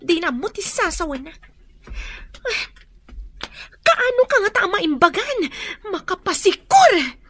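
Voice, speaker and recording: A woman's voice: extremely high pitch, big pitch swings, slow, long pauses, fairly bright tone, a little hiss or hum, heavily acted. A Filipino woman acting a fretful, exasperated woman with a complaining manner in a family radio drama.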